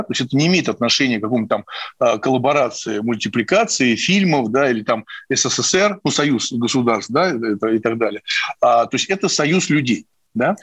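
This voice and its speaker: A man's voice: mid-range voice, fairly bright tone, husky, no echo. A middle-aged man hosting a live radio interview, conversational and probing, often checking with short tag questions. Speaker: radio host.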